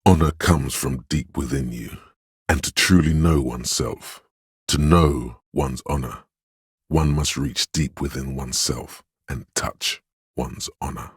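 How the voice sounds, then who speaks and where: A very deep, pompous authority. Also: male voice, breathy edge, no echo, clean studio sound, heavily acted. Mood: solemn praise. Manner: pompous, ceremonious, deliberate. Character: solemn, grandiose inner voice of authority exalting honour. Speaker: voice actor. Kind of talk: scripted game voice lines, theatrical narration.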